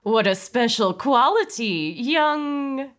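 A woman's voice: fairly high pitch, animated, slow. A woman voicing a beaming, over-welcoming woman in her forties with a gushing, effusive manner in a comedy script read.

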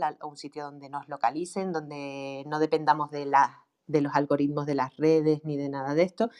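A woman with a strong Canarian accent and a low-pitched voice. She talks in a relaxed, conversational way, full of fillers and restarts, and laughs easily. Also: clear, resonant tone. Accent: Canarian Spanish. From Canary Islands. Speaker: guest expert on online marketing for writers. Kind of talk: casual conversational talk.

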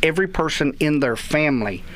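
A man with a Texan accent, a guest on a radio chat show, telling lively stories and acting out the quotes. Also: fairly high pitch, fairly quick. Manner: animated storyteller, acts out quotes. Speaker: guest, youth baseball parent. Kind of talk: casual radio chat, storytelling.

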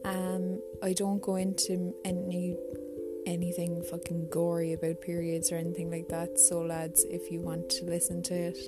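Irish woman, twenties, casual podcast host; flat, rambling, sweary. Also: fairly low pitch, normal speed, loud music behind the voice. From Ireland. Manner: rambling, swears freely, talks to herself. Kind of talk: casual solo podcast chat.

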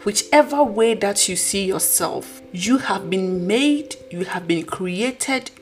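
A woman with a husky voice hosting a podcast, delivering an earnest, preachy motivational talk. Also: medium pitch, animated, slow, faint music behind. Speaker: podcast host.

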